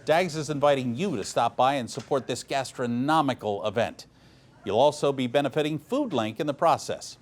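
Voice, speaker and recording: A man's voice: medium pitch, moderate pace. Playful local news anchor, a man. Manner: playful, mock-dramatic. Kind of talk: scripted news narration with light humor.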